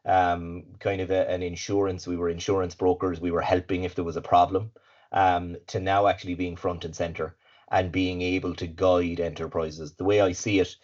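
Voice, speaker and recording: An Irish man with a raspy voice, slightly congested from hay fever, giving an upbeat webinar talk as guest speaker. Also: sits low, flat delivery, normal speed, a little mellow. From Ireland. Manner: upbeat, fluent, with filler words and repeats. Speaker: guest speaker, supply chain consultant. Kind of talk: webinar presentation. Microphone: video call. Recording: dull lo-fi video call audio.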